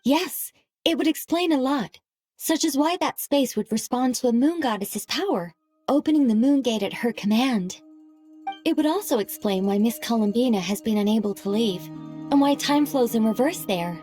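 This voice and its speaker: A woman voicing an earnest, scholarly young lady in a game cutscene, speaking in a formal, measured, articulate way. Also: a little high, animated, mellow, slightly rough, soft background music. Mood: thoughtful, earnest. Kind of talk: animated game cutscene voice acting.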